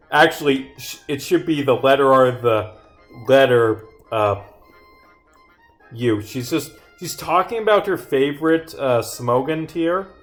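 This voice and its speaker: A man livestreaming casual commentary as he debugs his game, muttering to himself, exclaiming and swearing. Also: medium pitch. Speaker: game developer streaming. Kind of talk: casual livestream commentary while bugfixing, reading dialogue aloud. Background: game music.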